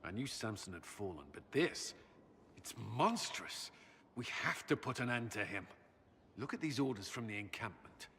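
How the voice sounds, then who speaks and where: An actor playing a grave, duty-bound military commander in a video game, earnest, measured and formal. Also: male voice, on the low side, animated, bright, forceful tone. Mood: disturbed, resolute. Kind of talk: dramatic video game cutscene acting. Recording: hall reverb with background noise.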